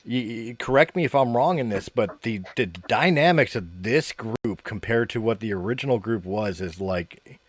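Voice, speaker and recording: Lively male podcast host, dry close mic. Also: lowish voice, normal speed, dry recording. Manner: rambling, repeats words, laughs often. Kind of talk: casual chat.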